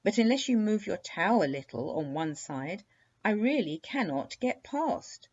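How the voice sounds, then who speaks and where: A woman's voice: mid-range voice, big pitch swings, a little slow, darkish tone, breathy edge, lo-fi sound, pitched above the performer's own voice. A woman voicing a polite, fearless little princess in a children's story, with a courteous, knowing manner. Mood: cheerfully insistent.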